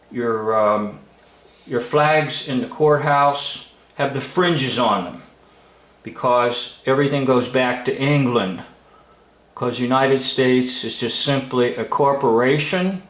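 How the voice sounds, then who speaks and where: A man giving informal, unscripted commentary as a video host, speaking in halting, broken phrases with emphatic stresses. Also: medium pitch, fairly bright tone. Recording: roomy, echoing room sound.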